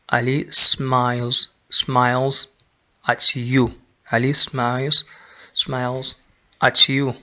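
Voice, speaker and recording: A man from Nigeria teaches a grammar lesson at a very slow, careful pace, going over each example sentence more than once. His voice is bright and forward, with a plain middle pitch. The recording sounds a little dull and lo-fi. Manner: repeats example sentences slowly, with filler ums. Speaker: English teacher.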